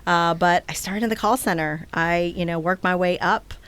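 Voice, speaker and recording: Casting: woman in her forties, a bit low, chatty guest who rambles and laughs easily. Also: moderate pace, a bit bright, clean tone. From Taiwan. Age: 40s. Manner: loose and rambling, many fillers, laughs easily. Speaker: nonprofit executive director, guest. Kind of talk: casual interview chat.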